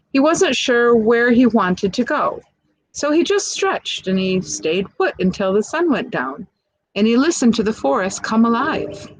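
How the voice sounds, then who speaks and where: A woman reading a picture book aloud expressively, pausing to chat to young listeners and ask them questions. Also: on the high side, no room sound, rough recording. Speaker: storybook reader.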